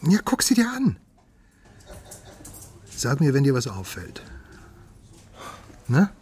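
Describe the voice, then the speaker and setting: A man's voice: mid-range voice, huge pitch swings, slow, darkish tone, slight background noise. An actor playing an insistent, rueful man in a radio drama, speaking in a prodding, emphatic way.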